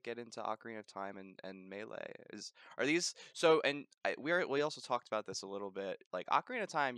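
A man's voice: medium pitch, a bit bright, smooth, no room sound, studio quality. A young man in his twenties hosting a casual interview, talking in a rambling way with many restarts, recorded on a headset mic in his bedroom. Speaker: podcast interviewer. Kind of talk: casual interview over voice call. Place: bedroom, indoors. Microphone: gaming headset mic.

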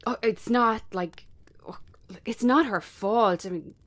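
A woman performs a bartender in her thirties in an intimate radio-style drama. She is sharp-tongued and weary, talking in a halting, natural, sardonic way. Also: on the high side. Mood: frustrated, venting. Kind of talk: naturalistic drama script reading.

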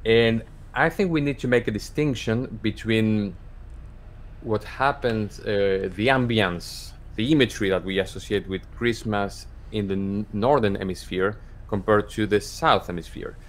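A middle-aged man from Argentina, a cantor, speaking as a guest over a video call in a measured way with pauses and fillers. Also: lowish voice. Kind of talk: casual conversation. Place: home office. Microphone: studio microphone on a video call.

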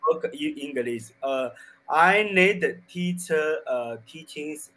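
A man from China in his thirties with a Chinese accent, speaking halting beginner English into a desk mic. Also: fairly high pitch, sharp tone, rough recording. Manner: halting, broken English with many fillers. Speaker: English learner. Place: small room indoors. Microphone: desk mic on boom arm.

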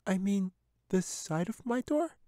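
Small, polite girl, high and meek, put on by the male narrator; dry close sound. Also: lively intonation, measured pace, dark tone, no room sound. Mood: timid. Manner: small, eager to please. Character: timid polite little girl.

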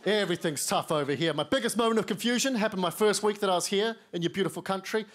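High-voiced New Zealand comedian on stage. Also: male voice, quick pace. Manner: wry, self-mocking, acts out quoted lines in lower voices. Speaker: stand-up comedian. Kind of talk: stand-up set. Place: large TV studio stage. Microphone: handheld mic. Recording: studio stage with audience noise. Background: studio audience laughter.

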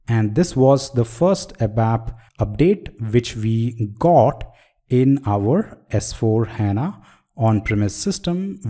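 A man teaching a recorded lesson over slides, talking through each point in a measured way. His voice is mellow and warm, at a middle pitch. He often asks the viewer questions before answering them. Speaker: software trainer. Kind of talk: screen-recorded tutorial lecture.

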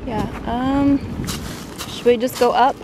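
High-voiced woman vlogging outdoors. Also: steady tone, takes it slowly. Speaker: vlogger mother hiking with her young daughter. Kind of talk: casual chat to camera and child. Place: outdoors on a wooded rocky trail. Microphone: handheld camera at arm's length. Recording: noisy outdoor handheld camera audio. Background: outdoor ambient noise.

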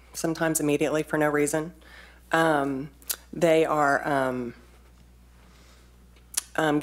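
A woman in her forties speaking at a podium in a council chamber, insistent and quick to interrupt. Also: sits low. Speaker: resident speaking at a public meeting. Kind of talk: public comment at a town board meeting. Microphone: standing podium mic from a distance.

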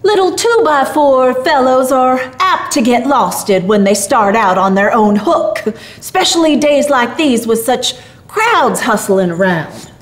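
A woman's voice: sits high, lively intonation, unhurried, echoey room. A woman voicing a kindly old street Santa in a storybook, folksy and emphatic. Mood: amused, folksy. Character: kindly, surprised old street Santa, gray-bearded man. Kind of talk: storybook character voice.

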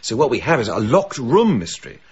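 Wry, hesitant English gentleman, muffled audio. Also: medium pitch, sing-song, brisk, husky, full character voice. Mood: intrigued. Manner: dryly witty, hesitant, polite. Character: wry, awkward, hopeful middle-class English gentleman. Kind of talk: radio drama acting.

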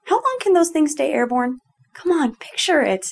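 A woman's voice: sits high, lively intonation, normal speed, vocal fry. An actress playing a brusque, sarcastic lone-wolf female spy in a video game, with a curt, biting delivery. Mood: exasperated, sardonic. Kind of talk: scripted game character dialogue.

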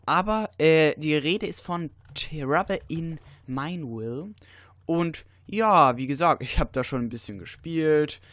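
A teenage boy with a cold, giving rambling casual commentary over a video game with many fillers and sudden excited outbursts. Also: a little high, unhurried, dry recording. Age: teens. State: stuffy, has a cold. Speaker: let's player.

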